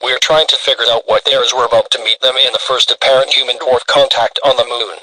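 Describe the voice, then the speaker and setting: A man's voice: medium pitch, fairly even intonation, fast talker, a crowd in the background, close to an everyday voice, low-quality recording. A man playing a TV field reporter giving a breaking news report. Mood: urgent reporting.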